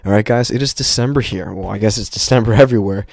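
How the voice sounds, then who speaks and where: A man hosting a casual motivational podcast, speaking informally and emphatically, his voice slightly raspy and prone to cracking as he gets over a cold. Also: lowish voice, fast, darkish tone, no room sound, clean studio sound. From Canada. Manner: informal, emphatic, addresses listeners as guys, with profanity. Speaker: podcast host.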